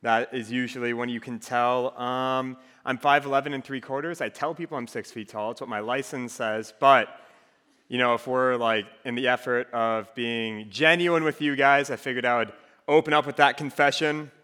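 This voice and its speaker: Young man, faint Minnesotan accent, casual joking sermon, mid-pitched voice, reverberant hall. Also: moderate pace, clean tone. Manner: relaxed, joking, conversational. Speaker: campus ministry speaker. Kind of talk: casual sermon to a student group.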